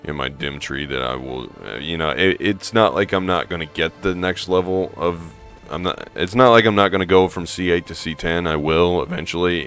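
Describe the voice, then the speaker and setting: Hoarse man, very low voice, casual rambling gameplay talk over soft music. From American Midwest. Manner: rambling, thinking aloud with fillers. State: hoarse from allergies. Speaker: gaming streamer.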